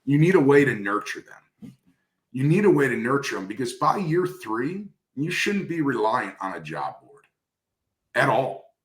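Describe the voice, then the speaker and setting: A man's voice: medium pitch, very animated, a little slow. A man speaking conversationally in an industry interview, coaching emphatically and repeating key phrases for stress. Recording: roomy, echoey sound.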